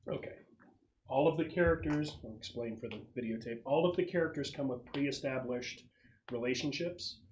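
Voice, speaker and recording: A man with a middle-pitched voice works as game master at a tabletop session. He explains the game in a halting, explanatory way. There is clear noise with some clicking behind him. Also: a little mellow, rough recording. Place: room at a gaming table with friends.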